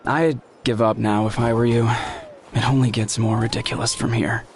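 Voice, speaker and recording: A man plays a sarcastic, skeptical young tribesman in a game who scoffs at old legends. His voice sits in an ordinary middle pitch. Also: level tone, moderate pace, a little mellow, a little hiss or hum, close to an everyday voice. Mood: scoffing. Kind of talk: video game voice acting.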